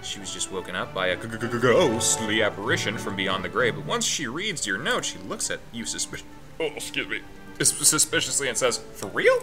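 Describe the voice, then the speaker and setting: A man's voice: on the low side, lively intonation, a little fast, over music, poor audio. A man hosting a let's play, reading the game text aloud with his own asides and chuckles.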